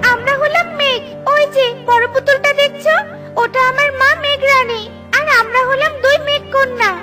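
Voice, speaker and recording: A little cloud princess trapped in a doll performed by a woman for a cartoon. The voice is squeakily, extremely high and childlike, with a pleading manner. Music plays behind it. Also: steady tone, normal speed, bright, forceful tone. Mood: earnest.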